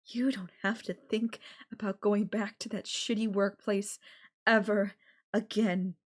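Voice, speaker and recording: Slow-talking, possessive yandere girlfriend played by a woman. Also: mid-range voice, little pitch movement, lightly acted. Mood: smug, possessive. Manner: slow, coaxing, affectionate talk with veiled threats. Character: possessive yandere girlfriend, sweetly menacing captor, adult woman. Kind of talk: intimate audio roleplay addressed to the listener.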